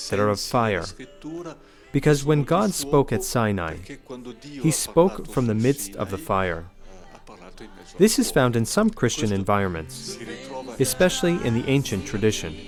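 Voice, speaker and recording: A man's voice: mid-range voice, big pitch swings, a little slow, long pauses. A man reading a scripted voice-over translation for a documentary report. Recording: music bed under the voice. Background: music.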